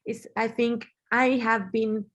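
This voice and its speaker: A woman in her thirties from Argentina, giving an earnest online talk over a video call, often restarting her phrases and filling pauses. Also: a little high, level tone, fairly bright tone, dull audio. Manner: earnest, halting, many restarts and fillers. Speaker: webinar speaker. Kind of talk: online presentation.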